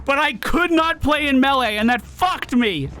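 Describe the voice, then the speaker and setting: A man doing live gaming commentary, excitable and exclamatory, swearing and rapidly repeating words. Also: very high-pitched. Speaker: video game streamer.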